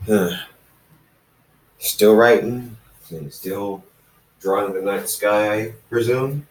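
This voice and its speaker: A man reading the part of a calm, gently teasing winged pony teacher in a fan fiction story, halting and formal. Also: on the low side. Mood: curious. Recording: roomy echo, distant from the mic.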